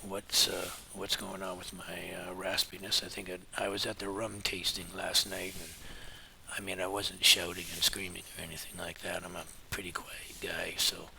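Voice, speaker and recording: A middle-aged man with a hoarse, scratchy voice, giving a relaxed, rambling market update full of pauses. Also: low voice. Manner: relaxed and meandering, many filler pauses. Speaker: market forecasting teacher. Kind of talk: casual spoken market update.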